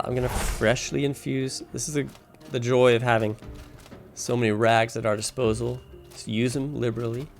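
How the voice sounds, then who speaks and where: A man casually talking through a video game as its guide, explaining his choices and thinking aloud as he plays. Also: mid-range voice. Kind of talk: casual walkthrough commentary while playing. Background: music.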